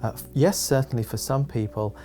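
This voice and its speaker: Man, mid-pitched, careful deliberate phrasing, interview talk, clear guitar backing. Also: mellow. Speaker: youth support worker.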